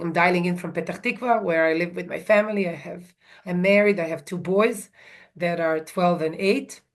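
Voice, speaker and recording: A woman in her forties, originally from Serbia, answering interview questions over a video call from home, earnest and full of ums and restarts. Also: fairly low pitch. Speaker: guest expert.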